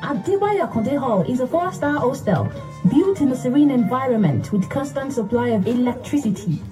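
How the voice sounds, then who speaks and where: Lively woman reading a radio advert. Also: high-pitched, moderate pace. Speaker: advert voice-over. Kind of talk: scripted radio advert narration. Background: music bed.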